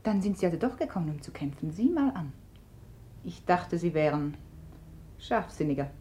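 A woman's voice: lowish voice, animated, normal speed, mellow, slight background noise, natural voice. An actress playing a cool, proud, mocking woman facing a rival in a classic radio drama, restrained and ironic.

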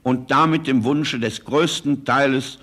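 A man's voice: mid-range voice, measured pace, fairly bright tone. A man delivering a stately, formal political radio address in a 1940s style.

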